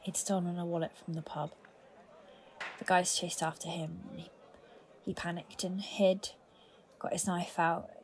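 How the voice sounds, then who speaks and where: A woman's voice: fairly low pitch, little pitch movement, unhurried, long pauses. An actress as a guilt-ridden, resentful woman, slightly noisy recording. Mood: guilty, halting. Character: guilt-ridden, vengeful female police colleague, hiding a crime. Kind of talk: audio drama acting.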